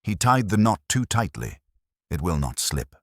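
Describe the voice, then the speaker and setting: A man's voice: sits low, big pitch swings, normal speed, no room sound, clean studio sound. A man reading the part of a stern, imperious older detective in formal, clipped, declarative sentences for an audio mystery. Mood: certain, accusing.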